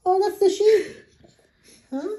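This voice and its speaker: A woman slowly coaxing a baby with animal sounds and finger games, indoors at home. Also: extremely high pitch, warm tone. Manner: slow, coaxing, sing-song baby talk. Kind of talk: talking to a baby.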